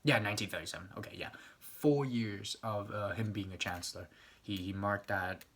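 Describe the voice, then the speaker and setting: A man's voice: on the low side, normal speed, a bit bright. A young man rambling casually, swearing often and stumbling over restarts.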